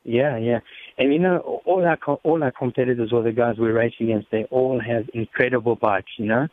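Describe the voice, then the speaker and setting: A man's voice: mid-range voice, a little fast. A South African man telling stories casually as an interview guest, often repeating words as he goes, over a narrow-band line. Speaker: motorcycle race team member and interview guest. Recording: muffled narrow-band phone or radio sound.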